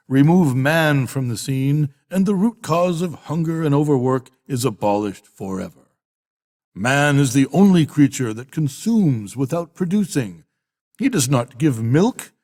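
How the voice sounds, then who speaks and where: A solemn old boar played by an older man delivers rousing storybook oratory. His speech is emphatic and full of dramatic pauses, in a fairly high-set male voice. A long hall reverb rings around every phrase. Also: a little mellow, natural voice. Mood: rousing, defiant. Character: elderly boar, solemn revolutionary orator rousing farm animals. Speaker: audiobook reader.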